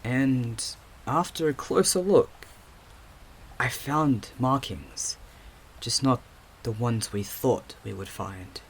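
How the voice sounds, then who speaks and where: A man acting a hesitant psychic investigator in an audio drama, speaking in a halting, earnest, stammering way. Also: mid-range voice, husky. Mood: earnest, careful. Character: hesitant young male psychic investigator with lost memories.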